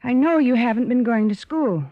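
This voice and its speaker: Actress as a concerned older sister, old radio. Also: female voice, a little high, lively intonation, moderate pace, close to an everyday voice. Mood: concerned, firm. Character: concerned, patient young working older sister. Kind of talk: old-time radio drama acting. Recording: muffled old radio recording.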